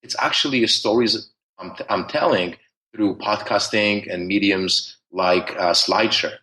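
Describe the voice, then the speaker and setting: A man's voice: fairly low pitch, measured pace, bright, forceful tone, rough recording. A man with a thick Polish accent, talking at length as a podcast guest, with many restarts and fillers. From Poland. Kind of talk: conversational interview.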